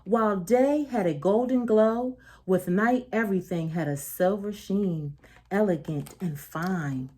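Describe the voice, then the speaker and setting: A woman reading a children's picture book aloud as a storyteller, expressive and deliberate, with emphatic phrasing and soft asides to the listeners. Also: mid-range voice.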